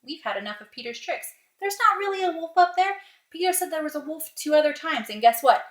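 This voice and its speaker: A woman's voice: sits high, lively intonation, a little fast, pitched above the performer's own voice. A woman speaking as fed-up, dismissive villagers in a children's fable, in an emphatic, matter-of-fact way. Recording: roomy, echoey sound.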